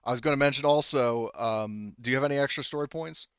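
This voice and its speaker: A man acts as game master in a casual tabletop session. His mid-range voice lays out details haltingly, circling back to rephrase. The sound is close and dry, slightly dull and lo-fi. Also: mid-range voice, a bit bright, smooth, no room sound. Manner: halting, explanatory, often rephrasing.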